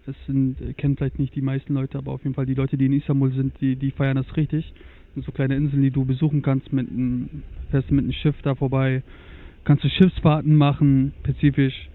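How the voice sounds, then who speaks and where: A man's voice: medium pitch, completely flat delivery, a little fast. A young man from Germany, chatting as a guest about travel, hesitant, with many fillers. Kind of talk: casual conversation about travel. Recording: tinny lo-fi phone-like sound.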